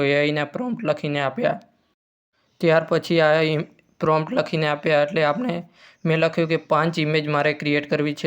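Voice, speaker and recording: A man's voice: a little high, clean tone. A man hosting an informal step-by-step tutorial, using repetitive instructional phrasing. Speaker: tutorial host.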